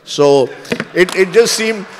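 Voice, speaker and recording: A man moderating a conference panel who asks brisk, probing questions. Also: high-pitched, animated, long reverb tail, a little hiss or hum.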